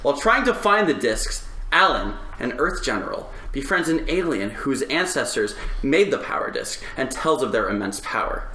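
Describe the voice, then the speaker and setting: A man's voice: medium pitch, sing-song, moderate pace, few pauses, pushed and bright. A man talking casually as a podcast panelist. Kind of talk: casual podcast chat and reading out. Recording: roomy, echoing group recording.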